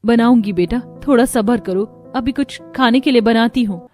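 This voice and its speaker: A woman playing a worried, patient young daughter-in-law and mother in a cartoon story, gentle and respectful. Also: fairly high pitch, moderate pace, faint music behind, lightly acted.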